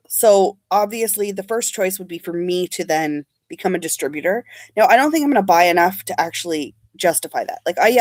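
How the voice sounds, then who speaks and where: A woman's voice: on the low side, dry recording. Young adult woman, casual beauty vlogger, slightly sniffly, dead-dry room tone. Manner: chatty, rambling, reads and answers live comments. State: sniffly from allergies. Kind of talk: casual livestream chat.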